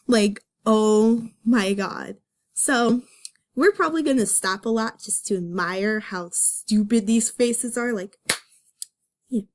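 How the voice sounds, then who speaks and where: A woman's voice: fairly high pitch, slow. A woman giving casual, playful commentary as host of a comic dramatic reading, with sarcastic, self-mocking asides.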